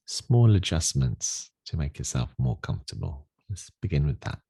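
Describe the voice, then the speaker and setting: A man leading a guided meditation in a gentle, unhurried, soothing manner with long pauses. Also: a bass voice, very animated, breathy edge, no room sound, studio quality. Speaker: meditation teacher.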